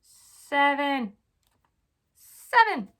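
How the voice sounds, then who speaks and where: A young adult woman reading a storybook aloud to children in an informal, animated way. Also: sits high, extremely slow. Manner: casual, child-directed, animated on dialogue.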